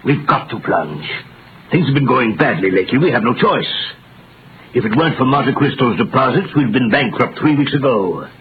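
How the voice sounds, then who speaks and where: An actor playing a desperate, bullying banker with a forceful, insistent manner in a 1930s radio drama. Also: male voice, medium pitch, lively intonation, normal speed, lightly acted. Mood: desperate, threatening. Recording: noisy, dull vintage radio recording.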